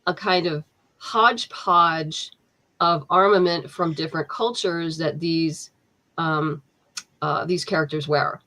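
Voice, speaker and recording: A woman with a slightly low voice talks about her comic in a loose, chatty way, full of ums and restarts. She sounds like a guest on an online interview, speaking over a video call. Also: steady tone, unhurried, sharp tone. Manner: chatty, many fillers and restarts, laughs easily. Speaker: comic book writer, guest. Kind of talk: casual interview chat.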